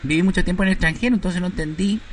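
A man's voice: high-pitched, rapid-fire, slight background noise, natural voice. A man voicing a chatty, nosy woman caller who keeps pressing with questions on a prank call. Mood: pestering.

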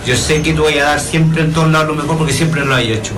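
A man's voice: fairly high pitch, steady tone, fast, background noise. A man, visibly moved, searching for words in a farewell message. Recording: echoey room.

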